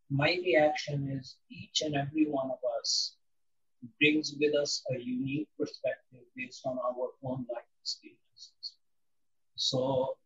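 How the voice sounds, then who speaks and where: A man in his sixties from India, answering interview questions in a measured, reflective way with frequent pauses and ums. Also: fairly high pitch, vocal fry, fairly bright tone, far from the mic, dull audio. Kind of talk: interview conversation.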